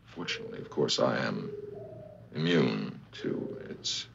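Gravelly deep alien officer. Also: male voice, exaggerated voice. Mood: detached. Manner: measured, formal, deadpan. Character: dry, logical alien science officer. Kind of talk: 1960s television drama acting. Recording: dull, very noisy old TV soundtrack.

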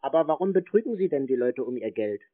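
A man's voice: mid-range voice, very animated, fast talker, no echo. A man in an argumentative phone conversation, stubbornly needling and repeating himself as he baits the caller. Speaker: prank-calling scam baiter. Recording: narrow phone-like sound.

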